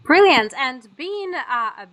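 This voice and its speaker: A woman's voice: high-pitched, animated, slow, fairly bright tone. A woman hosting a podcast interview, asking brief questions over a video call. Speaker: podcast host.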